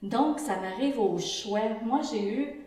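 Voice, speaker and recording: An earnest Québécois woman in her sixties gives her testimony, pausing and restarting as she searches for words. She sits some distance from the microphone. The room around her is very echoey. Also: fairly high pitch, warm tone. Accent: Québécois French. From Quebec. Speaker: interview participant. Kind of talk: reflective group interview testimony. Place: indoor room with a pump running.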